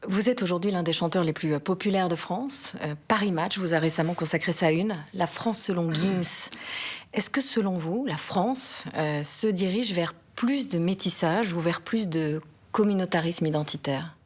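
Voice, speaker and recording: Husky-voiced woman asking formal questions. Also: lowish voice, a little mellow. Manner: polite, formal, measured questions. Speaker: interviewer.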